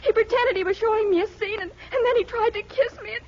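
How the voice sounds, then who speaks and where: High-pitched, earnest young sweetheart. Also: female voice, quick pace. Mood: distraught. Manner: pleading, emphatic, melodramatic. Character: earnest, lovelorn young sweetheart, pleading and exasperated. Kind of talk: 1940s radio comedy acting. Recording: muffled, noisy 1940s radio recording.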